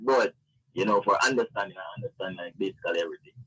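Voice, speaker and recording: A man speaks as a guest in a casual radio interview. His voice sits fairly low and has a rough, husky texture. He talks conversationally, padding his phrases with "you know". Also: very fast, a bit bright, rough recording. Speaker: interview guest, event organiser.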